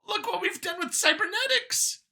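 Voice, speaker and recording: A fanatical alien scientist, female, extremely high-pitched, whiny, nervous, over-eager, sharp, science fiction audiobook character. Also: lively intonation, moderate pace, gravelly, exaggerated voice. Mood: excited, boastful. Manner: gushing, over-eager justifying.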